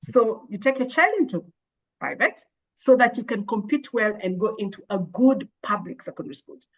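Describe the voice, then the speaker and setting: A woman, an education expert, answering interview questions in a measured, thoughtful way with frequent hesitations and restarts. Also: medium pitch, expressive, highs cut off. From Kenya. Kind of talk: explaining in an interview.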